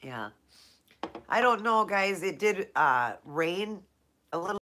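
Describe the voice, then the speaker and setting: A woman's voice: medium pitch, expressive, slow pace, pushed and bright. A woman from Minnesota hosting a casual live Q&A, chatting with viewers by name, her nose stuffed up from allergies. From Minnesota, USA. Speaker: live-stream host.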